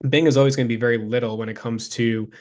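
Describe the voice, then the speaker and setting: A man presents as a consultant walking a viewer through a case study. His pitch stays fairly flat and even, and his delivery is informal, explanatory and practical, addressed straight to the listener. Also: fast.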